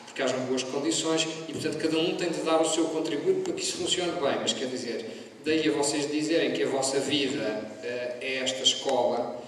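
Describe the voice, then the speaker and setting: A man's voice: mid-range voice, a little fast, a bit bright. A man on a panel, speaking reflectively and directly to an audience of students. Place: school hall. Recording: echoey hall from far off, noisy.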